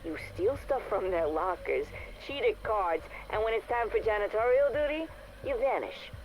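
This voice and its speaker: An actress playing a tough, sardonic facility worker with a blunt, sarcastic, slangy way of talking in a game audio log. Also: female voice, mid-range voice, lively intonation, moderate pace, darkish tone, a little hiss or hum, natural voice, rough recording. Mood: angry, threatening.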